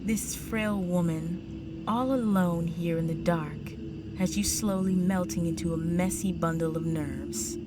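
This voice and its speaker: A seductive vampire countess, acted by a woman for an intimate audio drama, speaks very slowly in a fairly low voice. She is aristocratic, possessive and condescending, teasing her prey and often sinking into breathy whispers. Prominent music plays under her words. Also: lightly acted. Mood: teasing, predatory.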